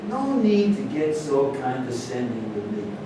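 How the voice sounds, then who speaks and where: A man's voice: big pitch swings, unhurried. A man at a staged reading, playing a defensive, pragmatic golf star. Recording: echoey room from far off, rumbling noise.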